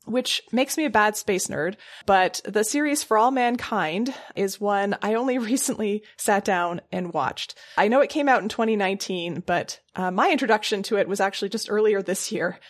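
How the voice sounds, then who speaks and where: A woman's voice: mid-range voice, expressive, moderate pace. A woman co-hosting a casual podcast, chatty and laughing often. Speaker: podcast co-host. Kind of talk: casual chat.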